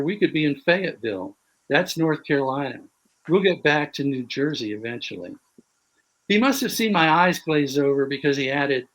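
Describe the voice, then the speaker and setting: A man reads his own prose aloud at a measured, dry pace. His voice sits a touch high for a man. It has the sound of a video call. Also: rough recording. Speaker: author reading his fiction. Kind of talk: prose reading.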